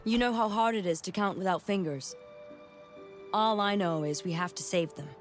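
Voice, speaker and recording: A woman's voice: mid-range voice, normal speed, soft background music, natural voice. An actress playing a tough, stern village defender and mother in a family adventure game, firm and dryly comic. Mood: stern, frustrated.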